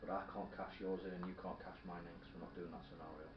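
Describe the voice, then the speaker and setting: A man with a fairly low voice talks casually through a tabletop wargame and its rules. He sounds distant from the mic in a roomy, noisy space. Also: little pitch movement, fast. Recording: distant, roomy, dull, noisy room recording. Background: radio playing in the background.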